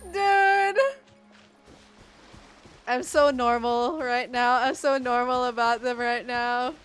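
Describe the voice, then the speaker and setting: Casting: woman game streamer from the Philippines, fairly high voice, slow casual reactions, faint music behind. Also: a bit bright, clean tone. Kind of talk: casual livestream reaction commentary.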